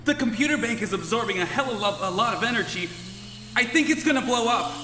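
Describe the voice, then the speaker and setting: A man's voice: very high voice, expressive, quick pace, noisy background. A man playing an anxious, incredulous, colloquial space traveller in a live radio-comedy play. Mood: alarmed. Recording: echoey live hall, music behind.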